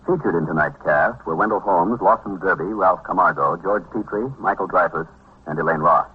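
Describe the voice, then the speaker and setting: Deep husky American radio announcer, male. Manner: formal, deliberate. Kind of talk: formal scripted 1950s radio announcing. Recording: dull vintage radio recording.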